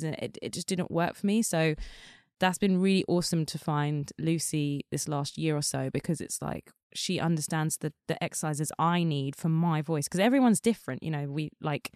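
A woman's voice: on the low side, darkish tone, no echo, clean studio sound. A woman singer chatting as an interview guest, talking loosely with many fillers. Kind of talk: casual interview chat.

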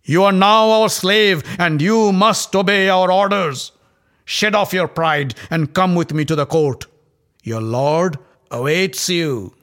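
A man's voice: high-pitched, slow, pushed and bright, smooth, pitched above the performer's own voice, full character voice. A man voicing a brutal young prince who roars orders and laughs mockingly. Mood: roaring.

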